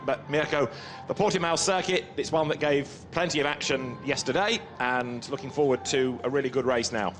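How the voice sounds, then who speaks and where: A man commentating on a motor race from a commentary booth, explaining the rules in a measured, informative way. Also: medium pitch.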